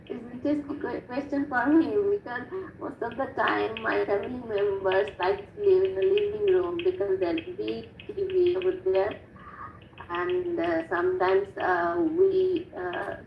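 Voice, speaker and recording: Woman on a video call, very slow and hesitant, lots of ums, dull echoey audio with a little hiss. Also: mid-range voice. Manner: hesitant, many fillers and restarts. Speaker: IELTS student, mother of two. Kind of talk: practice speaking test answers.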